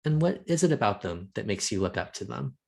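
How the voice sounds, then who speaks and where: A man's voice: fairly low pitch, sing-song, brisk, vocal fry, a little mellow. A man whose first language is Thai, giving an educational talk to students in a plain, explaining way. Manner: explains plainly, addresses young listeners. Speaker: guest presenter.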